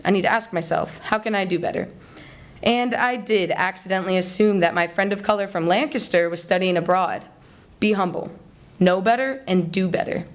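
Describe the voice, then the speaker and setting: A young American woman delivering a rehearsed persuasive speech to an audience, in an earnest and deliberate rhetorical manner. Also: lowish voice. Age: young adult. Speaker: student speech contest finalist. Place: college hall, before a live audience.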